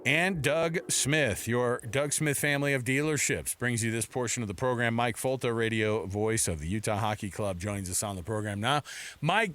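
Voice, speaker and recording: An American man co-hosting a lively sports talk radio show. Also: on the high side, smooth, no room sound.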